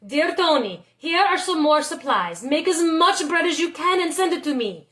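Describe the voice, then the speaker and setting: A woman voicing an eager, confident, wealthy young suitor in a storybook, loud and declarative. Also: extremely high pitch, animated, normal speed. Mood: promising.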